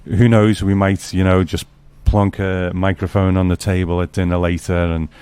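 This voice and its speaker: Low-voiced man hosting a casual podcast. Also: flat delivery, normal speed, a little mellow, clean tone, no echo. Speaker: podcast host. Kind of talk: casual chat.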